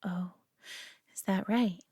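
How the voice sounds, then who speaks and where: A woman's voice: medium pitch, fairly even intonation, slow, no echo, studio quality. A woman voicing a prideful, doting alien who owns a human pet, teasing and condescending yet affectionate in an intimate audio roleplay. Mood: amused, sympathetic. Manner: teasing, condescending, affectionate, often hushed and breathy.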